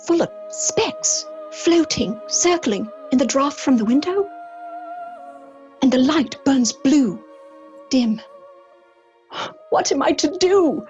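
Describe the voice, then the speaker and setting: A woman playing a fragile, frightened young gentlewoman in a gothic horror audio drama, dramatic and breathless, on a slightly dull recording. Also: high-pitched, very animated, slow, pauses often, faint music behind, heavily acted. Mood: fearful.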